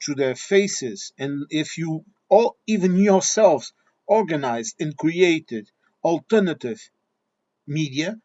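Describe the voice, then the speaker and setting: A man's voice: on the high side, a little mellow, dry recording, lo-fi sound. A man with a Portuguese accent, Portuguese his first language, delivering a blunt, combative monologue straight at his viewers. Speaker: video commentator. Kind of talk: ranting monologue.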